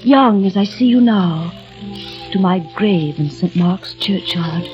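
A woman's voice: medium pitch, takes it slowly, natural voice. An actress playing a young woman from the eighteenth century, who speaks tenderly and earnestly in a 1940s radio drama. She bids her lover a poetic farewell. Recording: dull lo-fi old radio recording with music.

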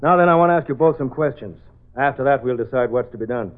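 Actor playing a dry stern police detective, tinny lo-fi old broadcast. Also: male voice, mid-range voice, fast, close to an everyday voice. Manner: stern, sarcastic. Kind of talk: 1940s radio acting.

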